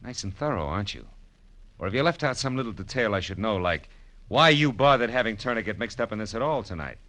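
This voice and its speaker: An actor playing a sardonic, hard-boiled private eye in an old radio noir, with wry, clipped delivery. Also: male voice, lowish voice, expressive, quick pace, a bit bright. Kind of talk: 1940s-50s radio noir acting and narration. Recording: vintage radio broadcast recording.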